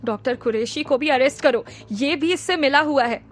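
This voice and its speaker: A woman's voice: high voice, moderate pace, lightly acted. A woman voicing an alarmed, suspicious wealthy woman who accuses a stranger. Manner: shocked, accusing.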